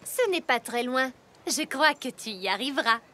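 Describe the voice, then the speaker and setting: A woman's voice: high voice, lively intonation, normal speed, bright, forceful tone, a little hiss or hum. A woman voicing a kind, composed young pony princess, polite and reassuring, in a French cartoon dub. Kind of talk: French animated series dub.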